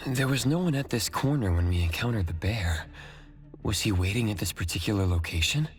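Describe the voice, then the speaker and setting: An actor playing a calm, analytical male investigator in a video game, measured and inquisitive. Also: fairly low pitch, close to an everyday voice. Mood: probing.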